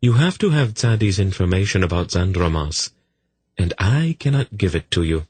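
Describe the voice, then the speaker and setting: A man's voice: low voice, lively intonation, moderate pace, a little mellow, breathy edge, pitched above the performer's own voice. A man voicing a dry, sardonic disembodied voice that speaks inside a character's mind, giving curt commands.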